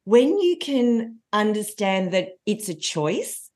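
A woman, a coach telling stories in a podcast interview with a loud, lively voice. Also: mid-range voice, slow pace. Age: 40s. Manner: lively storyteller. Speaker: coach and trainer.

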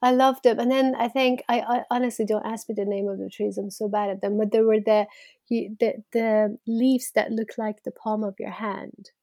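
A woman's voice: on the high side, little pitch movement, normal speed, warm tone, no echo, clean studio sound. A woman in her thirties from Iran, speaking as an interview guest in a reflective, conversational way and laughing easily. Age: 30s.

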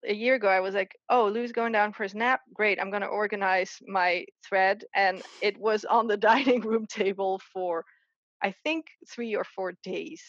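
A woman's voice: mid-range voice, no room sound, lo-fi sound. A woman from the Netherlands, a guest artist chatting casually in an interview, full of restarts and fillers. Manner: chatty, many restarts and fillers, quick laughs.

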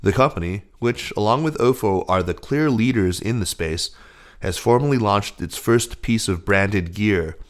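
Male news reader, deep voice, scripted podcast narration.

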